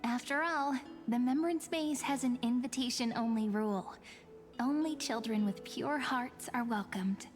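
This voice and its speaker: A woman voicing a soft, teasing fortune reader. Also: a little high, a little slow, soft background music, close to an everyday voice. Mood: wistful. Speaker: game character.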